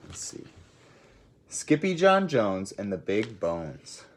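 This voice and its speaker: A teacher reading a storybook aloud to children, expressive and speaking directly to young listeners. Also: low voice, slow pace. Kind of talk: friendly storybook read-aloud.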